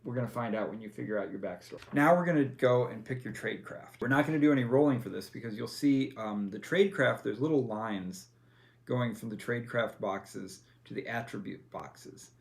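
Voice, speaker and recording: Gravelly man patiently explaining game rules. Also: mid-range voice, fairly quick. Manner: patient, many fillers and self-corrections. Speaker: game master.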